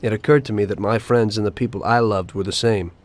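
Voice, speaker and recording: A man's voice: fairly low pitch, fairly even intonation, fast, natural voice. A man playing a disillusioned mafia gangster with an American accent, reflective and plain-spoken as he recounts his past in a game cutscene. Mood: weary, grave. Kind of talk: dramatic game cutscene narration.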